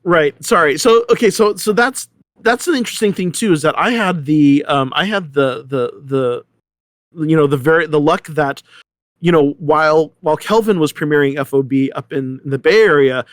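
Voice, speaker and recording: A man's voice: fairly high pitch, normal speed, no echo. Rambling middle-aged American man, dry close sound. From San Diego, United States. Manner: rambling, animated storyteller with many hesitations and self-corrections. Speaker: theater artistic director, interview guest. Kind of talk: casual interview talk.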